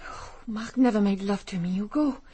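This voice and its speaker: A woman playing a sensitive, fragile rich young woman in a British radio drama, emotional and breathy. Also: medium pitch, moderate pace, mellow, close to an everyday voice. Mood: protesting. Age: young adult.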